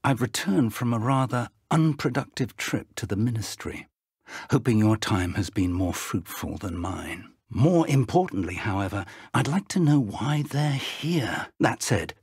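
An actor playing an elderly wizard professor in a video game, an earnest, resolute mentor who speaks formally and urgently in a husky, articulate voice. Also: male voice, fairly low pitch, animated, measured pace, a little mellow, no echo, studio quality, exaggerated voice. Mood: measured, mentoring. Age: older. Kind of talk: video game character dialogue.

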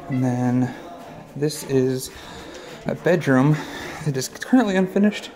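Man, gravelly, matter-of-fact house walkthrough, phone mic in an empty house. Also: mid-range voice, expressive, measured pace, a little mellow. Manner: matter-of-fact, pointing out rooms as he walks. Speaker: house tour guide. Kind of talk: casual walkthrough narration. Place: large unfinished house, indoors. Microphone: handheld phone camera.

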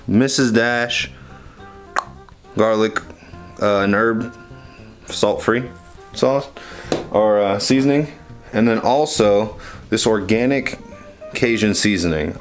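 A young man with a fairly low voice talks through a cooking how-to in a loose, chatty way, often stopping to restart his sentences. He films himself with a handheld camera in a small home kitchen. Soft music plays faintly underneath. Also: slow, pauses often. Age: young adult. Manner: rambling, chatty, self-correcting. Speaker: vlogger. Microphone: handheld camera at arm's length.

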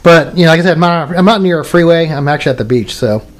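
A man's voice: a little high, fast. Rambling man demoing radios at home. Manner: rambling, many restarts and fillers. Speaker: radio hobbyist video maker. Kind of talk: casual show-and-tell demo. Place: home workbench room.

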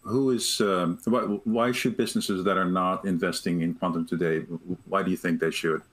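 A middle-aged man moderating a video-call panel, halting with restarts and fillers. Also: sits low, steady tone. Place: home study with bookshelves.

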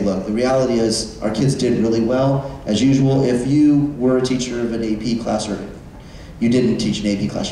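A male school administrator talking casually to staff, with easy fillers and a relaxed pace. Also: lowish voice, slight background noise. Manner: relaxed, conversational, frequent fillers. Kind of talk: staff meeting presentation. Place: large auditorium. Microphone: distant room microphone. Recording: echoey hall, recorded from far off.

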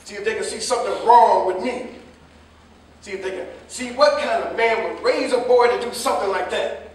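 A man's voice: sits high, a little fast, lightly acted. An American man acting a stern, proud older father, scolding and bitterly disappointed, in a staged play reading. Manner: scolding, reproachful. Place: indoor staged reading, recorded from afar. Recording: echoey stage, distant microphone.

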